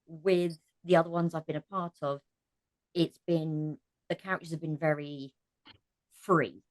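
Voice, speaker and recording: A woman with a fairly low voice answers interview questions as a podcast guest. She speaks casually and reflectively, like an actor discussing her work, in a very dry recording with no room sound. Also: fairly even intonation, measured pace, no echo.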